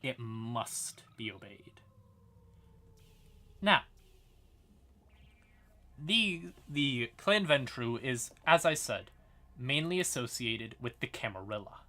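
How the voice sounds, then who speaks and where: Bright, forceful man explaining lore. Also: mid-range voice, animated, takes it slowly, long pauses. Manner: casual, explanatory, occasional swearing. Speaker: live streamer and host. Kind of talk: informal lore explainer on a livestream.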